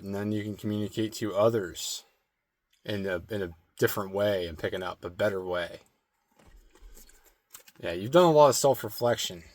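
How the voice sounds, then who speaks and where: Man, slightly hoarse strained throat, slow meditative card reading, lots of "like" fillers, dry close sound. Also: fairly low pitch, no room sound. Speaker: spiritual card reader. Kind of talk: intuitive oracle card reading.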